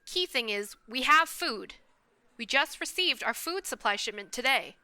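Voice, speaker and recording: Actress as confident bossy boss, brisk, firm, radio play, piercingly bright high voice, crisp. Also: female voice, animated, exaggerated voice.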